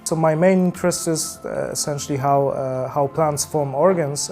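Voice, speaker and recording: A man with a Polish accent giving halting interview answers about his research, with many restarts and fillers. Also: medium pitch, dark tone. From Poland. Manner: halting, with repeated starts and fillers. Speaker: research group leader.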